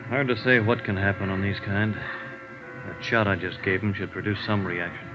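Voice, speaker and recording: On a muffled old radio recording with music, a man acts an American ambulance intern. His voice is on the low side, quiet, terse and businesslike, in 1950s drama style. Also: fairly even intonation, moderate pace, natural voice. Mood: calm, focused. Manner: quiet, matter-of-fact, terse. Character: calm, businesslike male ambulance intern at work. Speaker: supporting radio actor.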